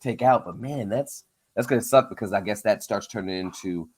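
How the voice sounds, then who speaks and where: A man hosting a show, chatting casually in an informal, halting way as he thinks out loud. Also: fairly low pitch, husky. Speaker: host of a comics breakdown show. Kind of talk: casual interview chat.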